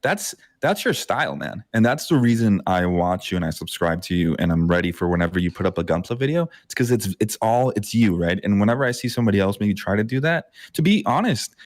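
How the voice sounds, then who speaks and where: A man with a fairly low voice hosts a casual hobby podcast. He speaks in an easygoing, affirming way, often restarting his sentences. The recording is dry and close. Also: no room sound. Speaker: podcast host.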